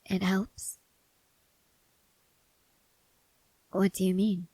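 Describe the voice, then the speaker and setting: A woman playing a weary young female soldier, guarded but tender, in an intimate ASMR roleplay, speaking in a hushed, halting way with soft whispers. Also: mid-range voice, flat delivery, breathy edge, dry recording, low-quality recording. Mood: hesitant, shy.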